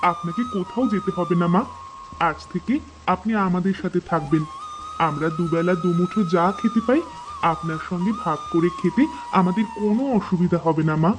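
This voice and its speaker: A woman's voice: lowish voice, normal speed, over music, close to an everyday voice, rough recording. Woman acting a kind, earnest village wife. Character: kind, hospitable young village wife, poor and devoted. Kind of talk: children's cartoon voice acting.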